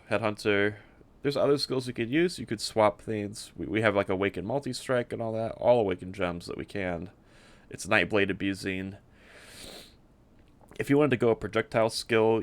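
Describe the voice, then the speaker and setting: A man presenting a game build in a casual, unscripted way, rambling and halting as he often repeats words. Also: lowish voice.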